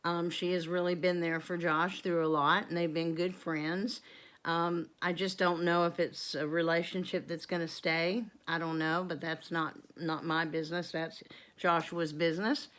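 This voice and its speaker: A woman in her fifties with a drawl talks straight to camera. Her voice sits on the lower side, and she rambles through a casual Q and A, filling pauses with ums and wandering off into asides. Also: level tone, fairly bright tone. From North Carolina, USA. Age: 50s. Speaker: homestead vlogger.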